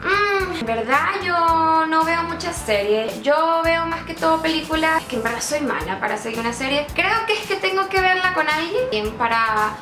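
Brief: twenties Venezuelan woman vlogger, high voice, playful and self-mocking, echoey bedroom with music behind. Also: moderate pace, few pauses. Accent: Venezuelan, putting on Chilean slang. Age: 20s. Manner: playful, self-mocking, laughing often, animated hand gestures. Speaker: YouTuber. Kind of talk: casual vlog answering questions.

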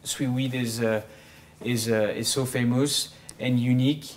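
French-accented man, 30s, mid pitch, halting second-language delivery. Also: steady tone. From France. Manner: hesitant, many fillers and restarts. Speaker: bakery owner. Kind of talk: casual interview. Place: small studio set.

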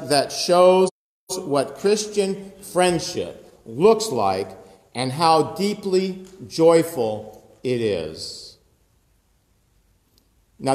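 Man in his fifties, pastor, slow unhurried sermon, reverberant sanctuary. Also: on the high side, darkish tone. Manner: conversational preaching with gestures and asides. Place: church sanctuary with high ceiling. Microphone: phone camera close up, looking upward. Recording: echoey church with long reverb.

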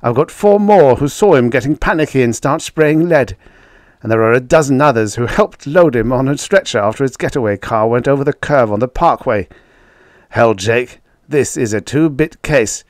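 Storybook role: gruff, breathless old prosecutor, voiced by a man, blunt and forceful, with swooping delivery. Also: medium pitch, animated, normal speed, dry recording, clean studio sound, voice pushed up, natural voice. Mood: gruff, exasperated. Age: older. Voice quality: wheezy, short of breath. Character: heavy old district attorney, gruff and combative.

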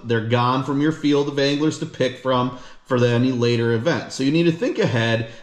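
Upbeat man talking to camera in echoey room. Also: medium pitch. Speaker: fishing channel host. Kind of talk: scripted announcement to camera. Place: living room indoors.

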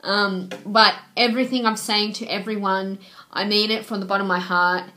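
A young woman speaks to her webcam from a small bedroom, her voice roughened by a cold. She talks earnestly and a little rambling, filling gaps with "um" and thanking viewers over and over. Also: mid-range voice, fairly even intonation, normal speed, bright, forceful tone. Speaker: vlogger. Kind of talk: casual thank-you messages to viewers. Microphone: webcam at desk.

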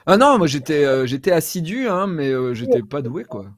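A man in his forties chatting casually on a video call, self-deprecating and halting as he tells stories about himself. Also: fairly high pitch, sing-song, no room sound, studio quality. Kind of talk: casual personal anecdotes, round-table chat. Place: small room at home, indoors.

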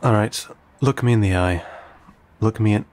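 A man playing an ancient, courteous, guarded vampire in an intimate audio roleplay, speaking in formal, old-fashioned, measured phrases with some hesitation. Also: darkish tone, close to an everyday voice. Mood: cautioning, stern. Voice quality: low, gravelly, breathy.

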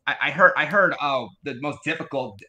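A man's voice: a little high, brisk, pushed and bright. A nervous man who stammers and repeats words while asking a question at a panel. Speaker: audience member asking a question. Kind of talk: casual panel Q&A talk.

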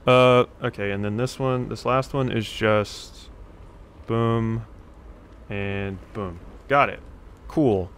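Slow-talking man thinking aloud over a game. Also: on the low side, a bit bright, slight background noise. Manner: thinking aloud, musing to himself. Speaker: let's play host. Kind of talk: casual game commentary.

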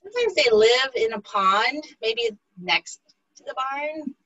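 A woman teaching young children over a video call, her voice set a little high and her words stretched out slowly. She coaxes them along in a gentle sing-song. The sound is muffled and dull, the way a headset mic on a video call sounds. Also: expressive. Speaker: speech therapist teaching children. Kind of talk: slow, simple talk to young children.

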